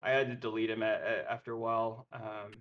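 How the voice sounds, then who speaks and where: A man's voice: fairly low pitch, flat delivery, fairly quick, dull audio, crowd noise. A man chatting and joking casually as a guest on a podcast.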